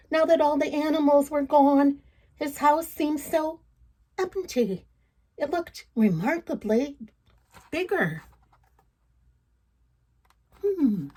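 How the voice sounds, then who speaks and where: Slow, high-voiced woman reading a children's story. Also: warm tone. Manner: playful, dramatic, asks the listeners questions. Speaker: storybook reader. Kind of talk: expressive children's read-aloud storytelling.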